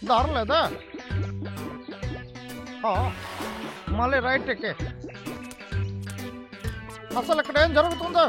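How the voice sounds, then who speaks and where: A man voicing a cocky, bumbling logger villain who talks theatrically to himself in a dubbed children's cartoon. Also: extremely high pitch. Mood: confused.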